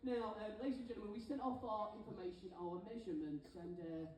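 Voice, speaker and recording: London guy joking around on stage, mellow voice, big echoey theater. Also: high voice, fast, recorded from a distance. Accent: London English. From England. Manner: cheeky, joking showman. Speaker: stage show singer and compere. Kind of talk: live stage banter. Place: ship theater. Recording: echoey theater recorded from afar.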